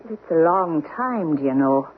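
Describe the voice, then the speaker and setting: A woman's voice: low-pitched, animated, unhurried, a little hiss or hum. An actress playing a fey, insistent Irishwoman with an Irish accent in an eerie radio drama. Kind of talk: 1970s radio drama acting. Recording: muffled narrow old radio broadcast.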